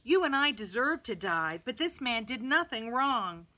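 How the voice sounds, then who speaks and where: A woman's voice: big pitch swings, a little slow, pushed and bright, exaggerated voice. A humble, repentant thief voiced by a woman storyteller speaks with plain earnestness. The delivery is sincere and close to natural speech. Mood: reproving. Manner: earnest, lowered voice. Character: humble repentant dying thief, a man pleading softly.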